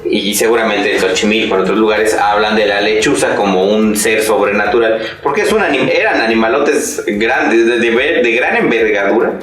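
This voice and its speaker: A man's voice: fairly low pitch, moderate pace, hardly any pauses, a bit bright, heavy reverb, distant mic, poor audio. A man with a Mexican accent hosting a casual chat, joking and rambling with lots of fillers. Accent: Mexican Spanish. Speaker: podcast host.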